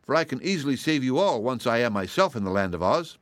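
A man's voice: medium pitch, very animated, fast talker, no echo, studio quality, voice pushed up, close to an everyday voice. A man voicing a brave, cheerful little girl adventurer who explains things in a confident, matter-of-fact way for a storybook. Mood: cheerfully reassuring.

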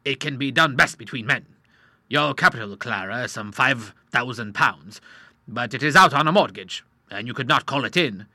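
Man reading a steady, kindly doctor. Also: medium pitch, moderate pace, dry recording, clean studio sound, full character voice. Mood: calm. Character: kindly, steady middle-aged male doctor.

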